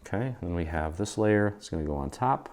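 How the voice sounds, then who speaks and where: An American man speaks in a low voice as he hosts a craft tutorial. His delivery is casual and easygoing, walking through each step informally. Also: expressive, fairly quick, darkish tone. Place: indoor craft studio.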